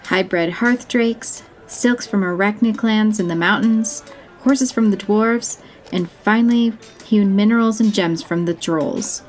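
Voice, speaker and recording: A woman's voice: medium pitch, a little slow, soft background music, rough recording. A woman reading scripted narration for a fantasy audio story.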